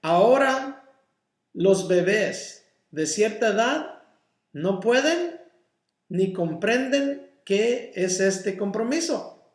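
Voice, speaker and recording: A male pastor teaching a Bible study sermon, a native English speaker, deliberate and often checking in with "ok?" and slipping in English words. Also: high-pitched, lively intonation, roomy sound. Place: church.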